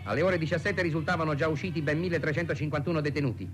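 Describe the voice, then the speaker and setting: A man performs a declamatory newsreel narrator, grandiose and urgent. He races through clipped phrases in a slightly high voice. Prominent music plays behind him. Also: racing, fairly bright tone, natural voice. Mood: solemn, urgent. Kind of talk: old Italian newsreel narration.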